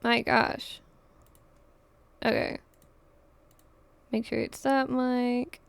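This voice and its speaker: A woman's voice: a little high, little pitch movement, drawn-out words, creaky, clear, resonant tone, no room sound. A woman live streamer chatting casually over her gameplay, thinking aloud in slangy, offhand remarks.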